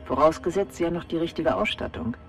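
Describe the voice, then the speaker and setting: An actress playing a secretive, bossy but kindly salon woman in a children's radio play, giving brisk, conspiratorial instructions. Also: lowish voice, gravelly, soft background music. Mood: confiding.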